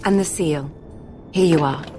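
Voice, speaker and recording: A woman's voice: low voice, unhurried, mellow, soft background music. An actress playing a shrewd young noblewoman in a fantasy game, refined and arch in manner. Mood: breezy, exasperated. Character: poised, shrewd young highborn lady. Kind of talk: dramatic video game acting.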